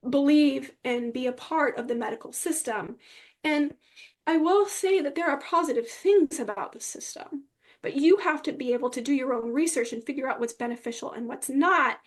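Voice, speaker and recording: Podcast guest, female, high voice, emphatic and encouraging conversational advice. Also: moderate pace.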